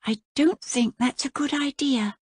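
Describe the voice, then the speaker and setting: A woman voicing an earnest young princess in a high, plaintive girlish voice. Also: unhurried, a little mellow, dry recording, studio quality.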